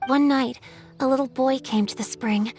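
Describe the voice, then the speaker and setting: A woman playing a gentle, lovelorn water spirit in a video game, speaking in earnest, poetic phrases. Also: high voice, fairly even intonation. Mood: wistful reminiscing. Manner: earnest, poetic, measured storytelling. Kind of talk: scripted video game voice acting. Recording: music behind the voice.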